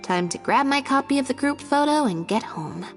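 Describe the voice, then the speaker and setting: A woman's voice: sits high, expressive, moderate pace, in a large hall, soft background music. An actress voicing a reserved, laconic young female magician's assistant in a video game, understated and terse. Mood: subdued. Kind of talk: video game character dialogue.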